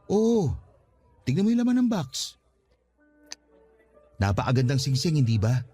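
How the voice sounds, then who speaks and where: An earnest young father in a radio drama. He sounds anxious and conversational, often asking questions as he pleads with his partner. Soft music plays behind him. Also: fairly high pitch, big pitch swings, a little slow. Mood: earnest, pleading. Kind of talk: Tagalog radio drama acting. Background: music bed.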